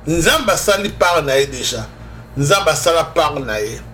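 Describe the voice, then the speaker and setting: High-voiced Congolese man preaching on air. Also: animated, unhurried, a bit bright, slight background noise. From Democratic Republic of the Congo. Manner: emphatic, switching between Lingala and French. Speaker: religious broadcast host and preacher. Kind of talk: conversational preaching on a radio show.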